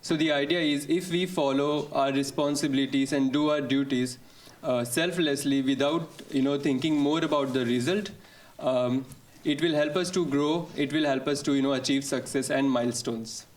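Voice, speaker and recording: A man from India, a student presenter, talking fluently in a relaxed way with light fillers. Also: medium pitch, moderate pace.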